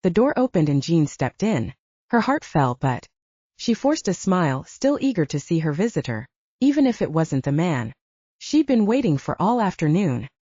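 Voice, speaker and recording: A woman reading an audiobook, narrating fiction and its dialogue in an expressive manner. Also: on the low side, moderate pace, no room sound, lo-fi sound.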